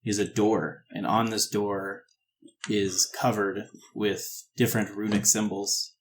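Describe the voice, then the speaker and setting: A man narrating a tabletop game as game master, improvising descriptions in a halting, descriptive way. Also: on the low side, flat delivery, a little hiss or hum.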